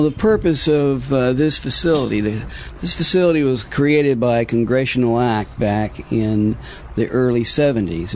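An American man, a government official giving formal interview answers in measured, deliberate, bureaucratic phrases, on a noisy recording. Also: medium pitch, darkish tone.

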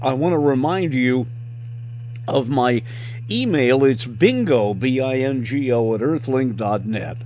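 A man's voice: medium pitch, lively intonation, unhurried, a little mellow, slight background noise. A man, a radio host chatting casually to his listeners as he wraps up his show. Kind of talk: casual chat sign-off to listeners.

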